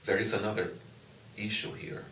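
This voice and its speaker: A middle-aged man from Brazil whose first language is Portuguese, a university professor answering interview questions in a slow, thoughtful way with frequent pauses and restarts. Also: lowish voice, fairly even intonation, a bit bright, slight background noise. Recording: echoey room, distant mic, faint ambience.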